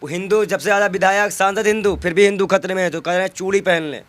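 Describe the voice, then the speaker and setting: Male interviewee. High voice, dry sound, combative and emphatic political argument. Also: fairly even intonation, fast, a bit bright, no echo. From North India. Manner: argumentative, emphatic, with rhetorical questions and repetition.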